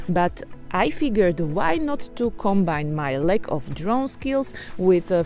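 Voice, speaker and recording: Chatty Slovak woman with mellow voice. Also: mid-range voice. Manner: chatty, self-mocking, playful asides. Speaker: tech vlogger. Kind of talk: casual unboxing narration.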